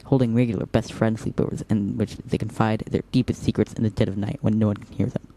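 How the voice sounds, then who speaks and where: A man's voice: on the low side, little pitch movement, fast talker, creaky, warm tone, slight background noise. A man playing a whimsical, teasing young operative in an audio drama, talking in a playful, mock-dramatic way.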